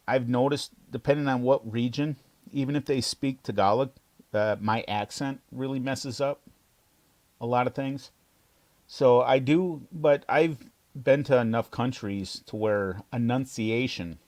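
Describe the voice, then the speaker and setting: A man's voice: mid-range voice, a little mellow. American guy in a casual chat, frank, talks easy, drops filipino words in. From United States. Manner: chatty, frank storytelling with bits of Filipino words. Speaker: podcast co-host.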